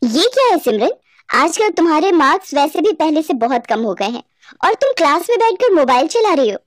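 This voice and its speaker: A woman plays a stern but caring lady schoolteacher in a cartoon story. The voice is high, with a firm, lecturing delivery in a dry recording. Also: sing-song, fairly quick, no echo.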